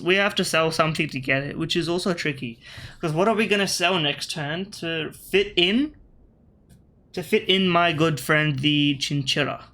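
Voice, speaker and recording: Bright-voiced Australian man thinking aloud. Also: fairly high pitch. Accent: Australian, shifted by British media. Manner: thinks aloud, self-correcting, wry asides to opponents. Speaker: gaming video creator. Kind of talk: casual gameplay commentary.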